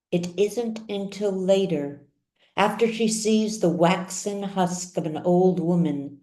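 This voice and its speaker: A woman narrates a story in a low, mellow voice. She reads very slowly and deliberately, pausing for drama like an audiobook storyteller. The recording is noticeably roomy, with echo around the voice. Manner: measured, deliberate phrasing with dramatic pauses. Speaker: author reading her own serialized novel.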